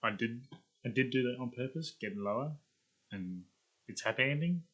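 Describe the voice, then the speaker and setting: Man, casual video creator, mid pitch, forward bright tone, thinking aloud. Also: animated, moderate pace, lo-fi sound. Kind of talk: casual vlog chat.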